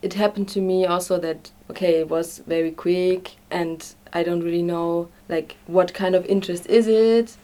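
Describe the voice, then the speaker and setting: Dark-voiced woman, hesitant interviewee. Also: fairly low pitch, steady tone, moderate pace, hardly any pauses. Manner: hesitant, searching for words. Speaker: refugee-support activist being interviewed. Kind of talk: unscripted interview answers.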